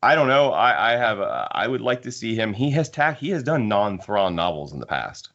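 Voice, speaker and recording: A man hosting a casual book discussion, halting as he repeats and rephrases himself. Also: lowish voice, big pitch swings, a bit bright, lo-fi sound. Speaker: podcast host.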